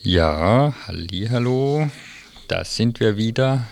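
A man presenting an informal review on community radio, speaking haltingly as he searches for words. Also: fairly low pitch, expressive, creaky, dry recording.

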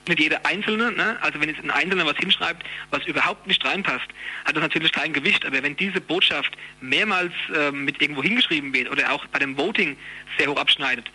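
A man's voice: fairly high pitch, pushed and bright, husky, rough recording. A man giving an informal interview as a union youth representative, explaining things in a colloquial way.

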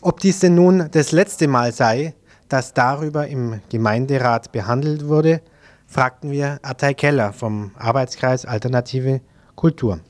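A man's voice: medium pitch, measured pace. A man working as a radio interviewer, reading a prepared report and putting questions to a guest.

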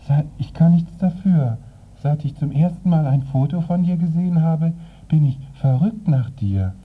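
A clingy, lovestruck woman played by an actress coos insistently in a comic radio play. Her husky, low voice moves slowly, eerily gentle, through a phone-like muffled recording.

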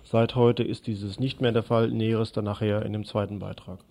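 A man presenting scripted radio news and commentary in a deliberate, sardonic manner. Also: fairly low pitch, little pitch movement, vocal fry, mellow.

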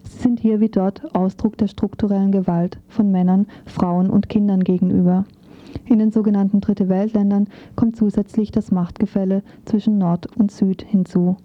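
A woman's voice: mid-range voice, little pitch movement, normal speed, no room sound. A woman reading a scripted radio feature as a presenter, on a muffled, narrow recording.

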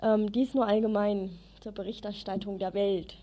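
A woman's voice: medium pitch, flat delivery, normal speed, mellow. A woman presenting news live on community radio, partly reading out and partly speaking freely.

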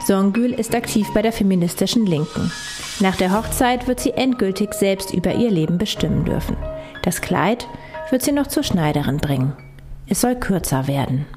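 Female radio presenter, mid-pitched voice, reading scripted feature narration over faint music. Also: animated, normal speed.

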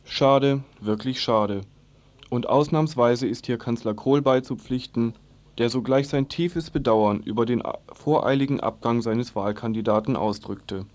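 A man's voice: mid-range voice, a little slow, darkish tone. A man reading a scripted, satirical news commentary on the radio with an ironic manner.

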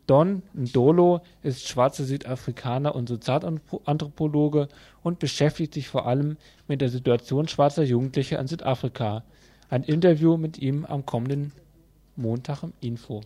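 A man's voice: fairly high pitch, moderate pace, dark tone. A man presenting a news bulletin on community radio, reading from a script. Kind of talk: read local news bulletin.

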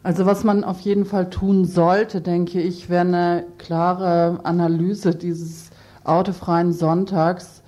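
Low, mellow-voiced woman. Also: little pitch movement, slow pace.

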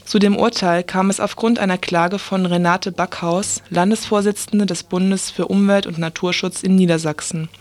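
A woman's voice: fairly low pitch, a little mellow, dry recording. A woman reading scripted news as a radio presenter.

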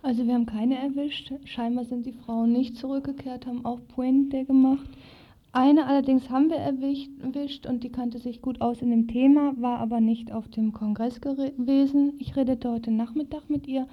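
High, very even-pitched woman radio interviewer. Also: normal speed. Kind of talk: informal radio presenting and interviewing. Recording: dull lo-fi radio recording.

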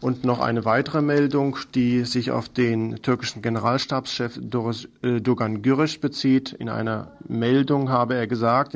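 A man presents scripted news on the radio. His voice sits at a middling pitch and has a noticeable creak and gravel to it. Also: muffled recording.

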